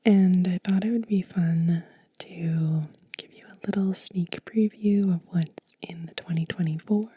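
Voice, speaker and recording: A woman whispering softly and breathily in a gentle, unhurried, soothing ASMR show and tell. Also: lowish voice, slightly rough, dry recording. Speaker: host of a witchcraft ASMR channel.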